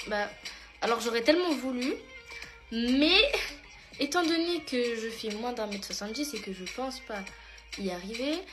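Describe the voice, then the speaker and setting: A teenage girl chatting casually in a get-ready-with-me vlog, answering her followers' questions with lots of fillers. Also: a little high. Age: teens. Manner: chatty, many fillers, addresses followers as "mes stars". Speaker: beauty pageant contestant vlogger. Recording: music playing under the voice. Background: background music.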